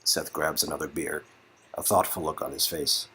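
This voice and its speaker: Husky man narrating a story. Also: a bass voice, steady tone, moderate pace, pushed and bright, soft background music. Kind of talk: scripted second-person story narration.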